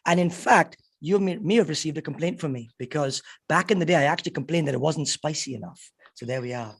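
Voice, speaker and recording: Croaky, quick-talking man co-host. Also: fairly high pitch. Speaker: podcast co-host. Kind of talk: chatty interview questions.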